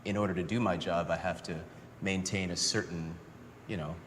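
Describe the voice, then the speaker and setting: A man in his thirties, a runway model, explaining in a measured way on a panel. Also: low voice, fairly even intonation, a bit bright, a little hiss or hum. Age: 30s.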